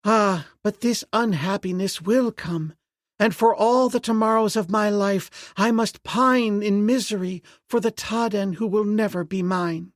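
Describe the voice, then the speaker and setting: A man voicing a fearful, lovesick young princess in a storybook reading, pleading and soft-spoken. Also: high-pitched, measured pace, dry recording, studio quality, voice pushed up. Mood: wistful.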